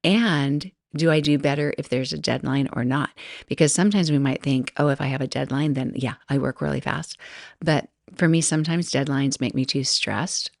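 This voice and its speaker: A woman's voice: low voice, moderate pace, vocal fry, dry recording, clean studio sound. A middle-aged woman hosting a solo podcast, chatting casually and rambling, often laughing and interrupting herself. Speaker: podcast host.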